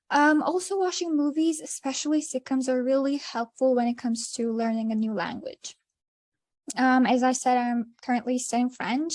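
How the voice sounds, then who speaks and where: A young woman from Iran with an Azerbaijani accent, an English teacher giving a short talk and answering questions, pausing often with fillers. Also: sits high, darkish tone, no echo. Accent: Iranian Azerbaijani. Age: young adult. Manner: hesitant, many fillers. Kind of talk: presentation then Q&A.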